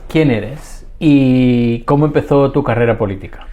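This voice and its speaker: A man hosting and interviewing in a clear presenter manner. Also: medium pitch, unhurried, warm tone. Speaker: interviewer, host. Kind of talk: scripted intro, then interview questions. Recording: faint music behind.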